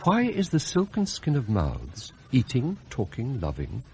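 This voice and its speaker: An actor playing an immaculate, deferential English butler in a radio play, formal and ornate in his speech, with a quietly plotting air. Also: mid-range voice, wildly swooping pitch, slight background noise. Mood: wry, musing. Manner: formal, measured, ornate wording. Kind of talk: radio play acting and narration.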